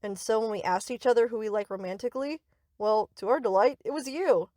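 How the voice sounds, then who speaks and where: A woman's voice: on the high side, a little fast, mellow, no echo, clean studio sound, lightly acted. A woman playing a sweet, reassuring but possessive young yandere best friend in an anime-style audio roleplay, coaxing and doting. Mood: affectionate.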